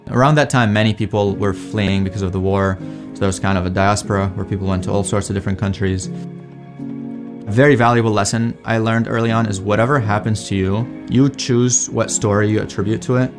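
A man's voice: fairly low pitch, fairly even intonation, fairly quick. A young man from Iraq, a PhD student, telling his story in a thoughtful, casual way with frequent "like.". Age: young adult. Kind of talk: personal testimonial. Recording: music bed under the voice.

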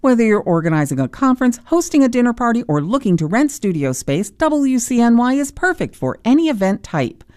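A woman's voice: a little high, very animated, fairly quick, hardly any pauses, mellow, no room sound, studio quality. A woman reading a station advertisement in an upbeat, bouncy way. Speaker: station ad announcer. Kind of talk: read advertisement.

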